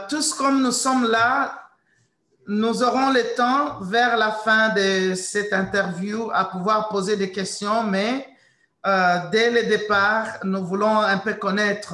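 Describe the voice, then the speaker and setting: A woman hosting an interview, introducing her guest in a slow, hesitant way and slipping into English now and then. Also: medium pitch, a bit bright, reverberant. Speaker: interview host.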